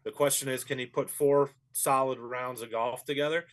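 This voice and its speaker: A man's voice: mid-range voice, steady tone, normal speed. A man, a golf professional, giving easygoing and thoughtful analysis as an interview guest. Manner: easygoing and thoughtful, with frequent fillers.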